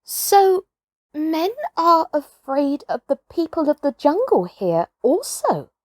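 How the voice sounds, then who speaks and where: A proud young wild boy from a children's storybook, acted by a woman. He muses with disdain in a raised, animated pitch. The sound is close and dry. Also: slow, no room sound, exaggerated voice. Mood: wary, musing. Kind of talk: children's storybook character reading.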